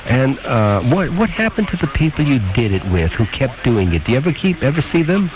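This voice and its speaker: A man's voice: medium pitch, sing-song, fairly quick. A man hosting a radio call-in show, questioning and advising callers in a blunt, probing, opinionated way. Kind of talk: probing phone-in advice conversation. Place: radio studio. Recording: noisy, dull lo-fi AM radio aircheck.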